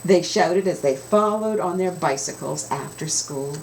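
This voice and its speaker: A woman reading a children's storybook aloud with expressive, dramatic emphasis, as an author telling her own tale. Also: lowish voice, a little slow, a little hiss or hum, poor audio.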